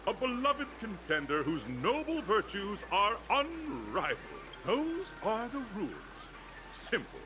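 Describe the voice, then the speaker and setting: An actor playing a flamboyant, cheery game-show announcer in a video game, theatrical and grandly formal. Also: male voice, high voice, wildly swooping pitch, measured pace, exaggerated voice, rough recording. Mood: grand, announcing. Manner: showy, chuckling, grandly formal. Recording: music behind the voice.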